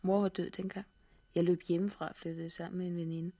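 A woman's voice: on the low side, little pitch movement, warm tone. An actress playing a candid, wry young woman novelist surviving a catastrophe in a 1960s radio drama, in a frank conversational manner.

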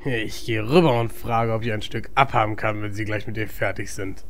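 A man acting a cheeky, clueless tabletop player in a comedy audio drama, with a flippant, deadpan manner. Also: on the low side, normal speed.

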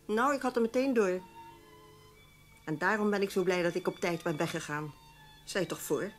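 An actress playing a shrewd, frank former newspaper secretary who gives knowing advice in a radio drama. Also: female voice, on the low side, normal speed, soft background music, close to an everyday voice. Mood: indignant. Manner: knowing, advisory. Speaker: actor.

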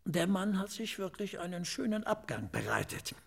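An actor playing a talkative forensic pathologist in a radio drama, dryly clinical and slightly eccentric, explaining things in measured, savoring phrases. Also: male voice, high-pitched, big pitch swings, fairly bright tone, heavily acted. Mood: animated, wry. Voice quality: raspy, creaky. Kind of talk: German radio drama acting.

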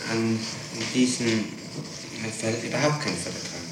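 A man's voice: on the low side, unhurried. Man, police questioner, insistent and methodical, distant on a heavily noisy archival tape recording. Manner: insistent, probing, repeats and restates answers. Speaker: police interrogator. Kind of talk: formal questioning. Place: reverberant room, taped police interrogation. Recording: very noisy, echoey old tape, distant mic.

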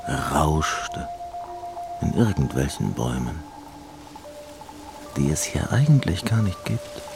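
An actor playing a sombre, matter-of-fact man who recounts grim memories in a measured way in a radio play. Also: extremely low pitch, sing-song, vocal fry, music in the background, heavily acted. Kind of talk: German radio play acting.

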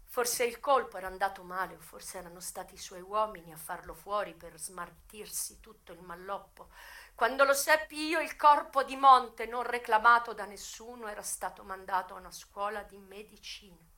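An actress performing a dramatic radio monologue as a worldly, passionate American madam recalling her youth, expressive and full of pauses. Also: mid-range voice, close to an everyday voice. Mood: subdued, sorrowful.

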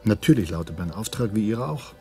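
An actor playing a resigned undercover double agent in a radio drama, terse and defensive. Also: male voice, on the low side, animated, fast talker, a little mellow, soft background music.

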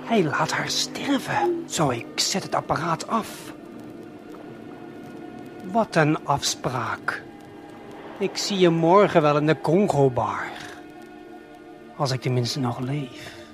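A man playing a conspiratorial eavesdropping guide in a radio play, unhurried and confiding, talking straight to the listener. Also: on the high side, big pitch swings, over music. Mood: hushed, intrigued. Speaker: narrator.

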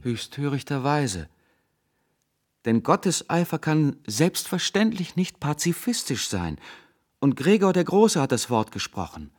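An actor playing a severe, dogmatic intellectual zealot, a professor who lectures in cutting absolutes, in a radio drama. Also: male voice, a little high, big pitch swings, a little slow, darkish tone. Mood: fanatical, insistent. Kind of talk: German radio drama acting.

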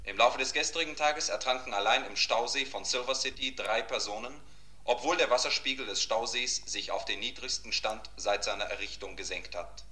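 A man playing a radio newsreader in a 1950s radio play, reading bulletins in a formal, measured way. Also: medium pitch, bright, forceful tone, natural voice. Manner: formal, measured announcer delivery. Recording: crackly old tape with heavy hiss.

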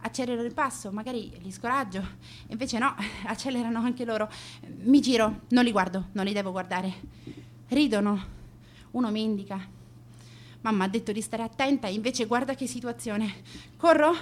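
A woman's voice: fairly high pitch, moderate pace, natural voice. A young woman acting a vain, scornful teenage schoolgirl who narrates her days in a theatrical, confiding radio monologue. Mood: anxious. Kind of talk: dramatic radio monologue in present tense.

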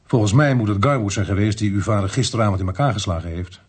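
An actor playing an apologetic police investigator in a Dutch radio drama, speaking in a measured, explanatory way. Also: male voice, on the low side, husky. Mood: explaining.

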